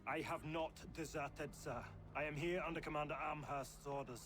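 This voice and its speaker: An actor playing an earnest, dutiful army officer in a video game, formal and deferential in manner. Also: male voice, on the high side, fairly even intonation, moderate pace, lightly acted. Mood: anxious, respectful. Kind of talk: dramatic video game cutscene acting. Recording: loud music behind the voice.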